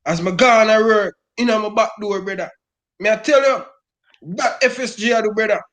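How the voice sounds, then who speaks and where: High-voiced Jamaican man ranting. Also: moderate pace, fairly bright tone. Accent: Jamaican Patois. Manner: emphatic, repeats "brother" constantly. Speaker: football fan guest. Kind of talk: impassioned fan rant.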